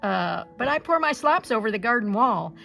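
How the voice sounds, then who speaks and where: Woman playing a hesitant, creaky old husband. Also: fairly high pitch, lively intonation, normal speed, a bit bright, soft background music. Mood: puzzled. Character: simple, puzzled old husband in a folktale.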